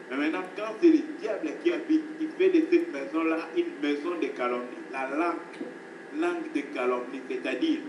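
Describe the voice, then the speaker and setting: A man preaching with insistent repetition, his fairly high voice heard from across an echoing room. The recording is noisy and distant, with the space ringing around him. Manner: emphatic preaching, repeats phrases, addresses listeners as beloved. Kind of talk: sermon.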